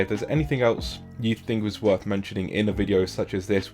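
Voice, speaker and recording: A man with a croaky voice, rambling casually to camera about learning languages, with lots of fillers and restarts. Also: lowish voice. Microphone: camera mic.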